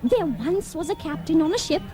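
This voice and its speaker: A woman's voice: very high-pitched, animated, moderate pace, warm tone, faint music behind. A woman acting a flighty, fussy, tippling society lady, fluttery and affected, in an old-time radio play. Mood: fussy, theatrical.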